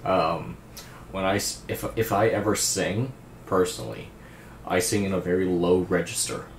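A young man with a naturally deep, raspy voice, hosting a casual music reaction, laid-back and swearing freely as he praises a singer. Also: moderate pace, roomy sound, a little hiss or hum. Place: indoor room at a streaming desk.

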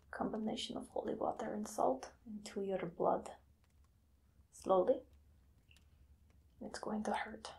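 A woman in her thirties whispering as a zealous female vampire hunter in an ASMR roleplay, slow and deliberate. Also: fairly high pitch, vocal fry, darkish tone, exaggerated voice. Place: small dim room indoors.

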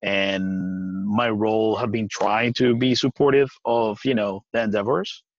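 A man in his forties from Puerto Rico, talking earnestly on a video call from outdoors, with halting restarts and frequent laughs. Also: lowish voice, fairly even intonation, no echo. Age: 40s. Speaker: guest. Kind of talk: casual video-call interview. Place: outdoors on a deck by trees. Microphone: video call webcam. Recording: dry, dull lo-fi webcam sound.

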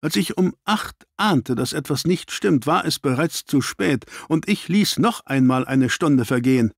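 Audiobook detective, read by a man: male, stern gentleman, terse, commanding, raised pitch, dry close sound. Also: expressive, unhurried, vocal fry, dry recording, heavily acted. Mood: angry, self-reproachful.

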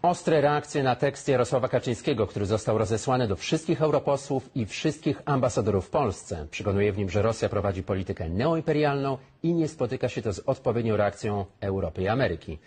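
A man in his thirties reading scripted headlines as a TV news anchor, with a rough, husky voice. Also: medium pitch, lively intonation, fairly quick, hardly any pauses, darkish tone. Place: TV news studio.

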